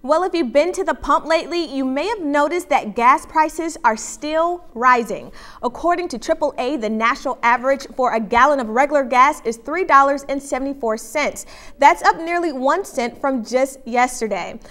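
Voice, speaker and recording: A woman anchoring a news broadcast, reading scripted headlines in a crisp, even newsreader delivery. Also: high voice, moderate pace.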